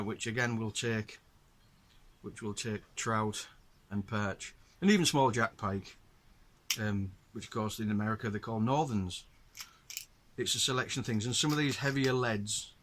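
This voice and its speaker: Bright-voiced Northern English man. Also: mid-range voice, unhurried. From north of England. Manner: chatty, explains patiently to viewers, often restarts phrases. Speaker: host. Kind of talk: casual show-and-tell demonstration.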